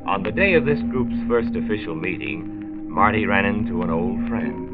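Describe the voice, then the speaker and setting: An actor playing an authoritative county doctor who hosts and narrates a 1940s radio drama, formal and deliberate on an old recording. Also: male voice, fairly low pitch, expressive, phone-quality sound, loud music behind the voice. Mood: solemn, announcing.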